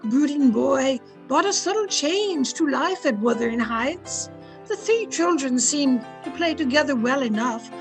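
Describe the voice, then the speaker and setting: A woman acting as a dutiful housekeeper in a radio play, telling the household's tale in a high-pitched voice. Her delivery is deliberate and story-like, with clear music behind it. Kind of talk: old-time radio drama with scripted narration. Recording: home recording with music.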